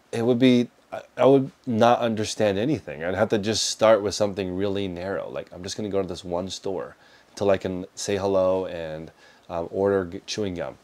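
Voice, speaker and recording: A man's voice: deep voice, darkish tone. A man, answering viewer questions in a casual, practical way, recorded on a clip-on lapel mic in an indoor storage room. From United States. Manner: practical, conversational, gestures with his hands while talking. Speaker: reselling business host answering viewer questions. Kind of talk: casual livestream Q&A. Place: indoor storage room lined with shelves of boxes.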